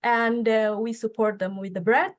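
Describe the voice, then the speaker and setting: A woman's voice: a little high, steady tone. Woman presenting with hesitant fillers. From Indonesia. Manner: halting, many fillers and restarts. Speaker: student association speaker. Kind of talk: conference presentation.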